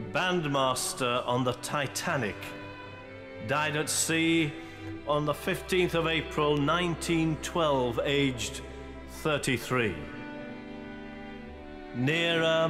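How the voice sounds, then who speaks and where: Slow, solemn clergyman giving a eulogy. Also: on the high side. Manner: measured, oratorical. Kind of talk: solemn memorial address in radio drama. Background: music.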